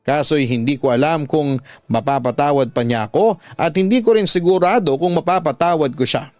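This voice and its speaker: A Filipino man hosts a radio story program in a fairly high male voice. He reads letters as dramatic first-person narration and speaks as an advice giver. The sound is close and dry, with no room around it. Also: a little fast, a little mellow, no echo.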